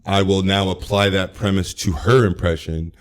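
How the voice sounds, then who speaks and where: A man with a deep, low-pitched voice chats casually as a podcast guest. He jokes freely and pokes fun at himself. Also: steady tone, moderate pace. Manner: joking, self-deprecating. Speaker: guest comedian.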